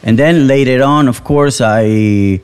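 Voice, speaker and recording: A man from Venezuela who speaks English as a second language, talking slowly and hesitantly as an interview guest. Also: medium pitch, a little mellow, clean tone. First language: Spanish. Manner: slow and hesitant, tag questions. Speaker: guest musician and sound engineer. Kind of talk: relaxed interview talk.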